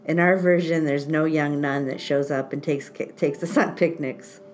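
A woman's voice: low voice, steady tone, moderate pace, slight background noise. A woman podcast host, born in Italy and raised in France, telling personal stories in a wry, self-mocking way and laughing often. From born in Italy, grew up in France. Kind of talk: casual storytelling chat.

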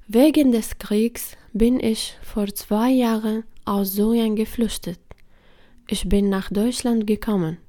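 A woman reading a first-person life story aloud in a slow, earnest, measured way. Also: mid-range voice, dark tone. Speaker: reader.

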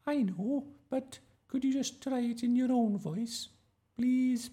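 A man's voice: very high-pitched, slow pace, mellow, pitched above the performer's own voice. A man voicing a gushing, theatrical young female drama teacher in a children's storybook, sing-song and exaggeratedly polite. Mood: patient, coaxing. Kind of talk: children's audiobook character voice.

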